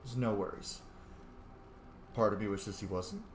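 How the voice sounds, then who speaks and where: A man's voice: lowish voice, a little slow. A man giving casual, playful and opinionated commentary while roleplaying through a video game, swearing freely. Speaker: game streamer. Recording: roomy echo, clear background noise.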